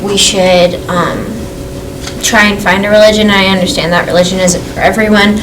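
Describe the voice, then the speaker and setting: A woman's voice: mid-range voice, flat delivery, normal speed. A female student answering in class, nervous and hesitant. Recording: distant mic, noisy classroom.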